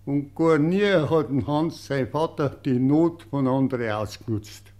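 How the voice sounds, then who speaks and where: A man's voice: medium pitch, unhurried, a little mellow, soft background music, close to an everyday voice. An actor playing a plain-spoken male farmer with a Bavarian accent giving earnest testimony in a radio play. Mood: earnest, solemn. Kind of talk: German radio play monologue in dialect.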